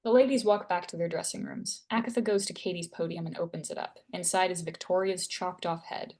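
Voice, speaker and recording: A woman narrates a screenplay at a table read. Her voice is a bit low as she reads out stage directions and scene headings in a scripted style. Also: fairly even intonation, fairly quick, darkish tone.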